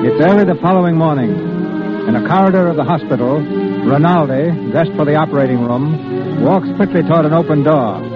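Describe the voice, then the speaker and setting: A male announcer gives scripted narration in 1930s radio style. His slightly high voice is muffled by the old recording, with prominent music underneath. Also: brisk. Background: loud music.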